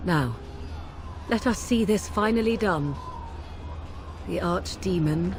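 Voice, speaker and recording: A woman voicing a proud, guarded witch in a fantasy game, formal and measured, hiding tenderness under a cool manner. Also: lowish voice. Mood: solemn, guarded. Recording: music behind.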